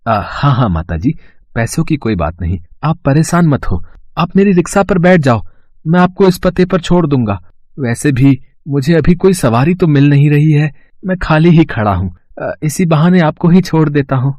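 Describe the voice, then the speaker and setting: A man's voice: fairly high pitch, lively intonation, moderate pace, darkish tone, dry recording, close to an everyday voice. A man playing a humble, obliging rickshaw puller in a cartoon moral story, polite and reassuring. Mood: kindly reassuring.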